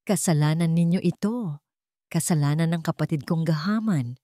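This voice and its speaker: A woman's voice: sits low, normal speed, mellow, dry recording, clean studio sound, close to an everyday voice. Woman playing a venomous, unrepentant villain uncle. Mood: bitter. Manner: bitter, accusing.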